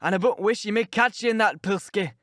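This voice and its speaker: A man's voice: high voice, a little fast, fairly bright tone, no echo, studio quality, pitched above the performer's own voice. A man voicing a grumbling, pious old male servant with a broad Yorkshire dialect and a rustic drawl, scolding as he talks. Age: older.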